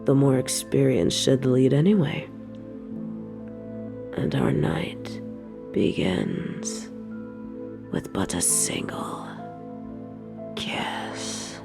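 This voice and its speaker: A woman playing an evil, possessive queen in an intimate audio roleplay, speaking formally and seductively in a breathy voice that often drops toward a whisper. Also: extremely low pitch, animated, music in the background, heavily acted. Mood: gloating, tender. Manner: formal, regal, slow seductive delivery.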